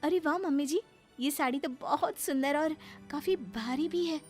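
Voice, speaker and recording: A woman acting as a young daughter-in-law from a cartoon family tale, in a high voice. She is deferential and eager to please, pleading gently and apologizing as she goes. Music plays behind her. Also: normal speed, lightly acted. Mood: placating. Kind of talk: Hindi animated moral story acting.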